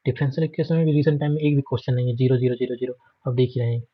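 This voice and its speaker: A man's voice: medium pitch, steady tone, rapid-fire, husky, no echo, dull audio. An Indian man giving an informal, matter-of-fact exam prep walkthrough, listing items repetitively and mixing in English terms. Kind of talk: informal screen walkthrough lesson.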